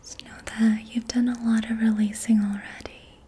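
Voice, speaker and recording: A young woman whispering breathily in a soothing, gentle ASMR style as she guides an energy healing session. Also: a little high, flat delivery, moderate pace, slight background noise. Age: young adult. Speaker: ASMR reiki practitioner. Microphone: close mic.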